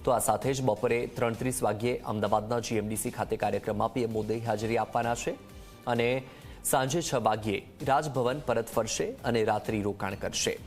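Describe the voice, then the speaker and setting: A man's voice: medium pitch, fairly even intonation, a little fast. Male news anchor over music. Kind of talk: live news bulletin presentation. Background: music bed under the voice.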